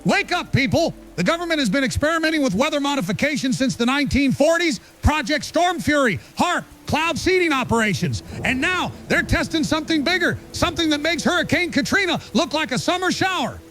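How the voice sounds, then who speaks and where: A man playing an urgent, paranoid conspiracy broadcaster in a radio drama, gravelly and accusatory. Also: very high voice, very animated, brisk, a bit bright, heavily acted. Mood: alarmed, accusatory. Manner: emphatic, accusatory.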